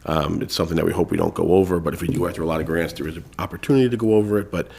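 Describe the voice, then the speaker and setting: Low-voiced, stuffed-up man in his thirties, chairing a town meeting, loose and halting. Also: steady tone, gravelly, a little mellow, husky. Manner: casual, filler-heavy, restarts phrases. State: congested. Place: town hall meeting room.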